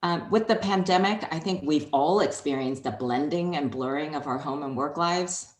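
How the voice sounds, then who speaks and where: A woman from Hong Kong whose first language is Chinese, answering interview questions in a measured, composed way. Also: low-pitched, reverberant. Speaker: interview guest, HR executive.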